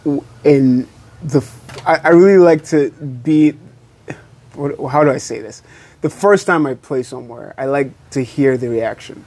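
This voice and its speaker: A man from Nigeria, a musician, chatting in a relaxed, hesitant way outdoors, often repeating words and saying "you know.". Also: medium pitch, unhurried. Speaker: musician guest. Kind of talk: casual interview chat.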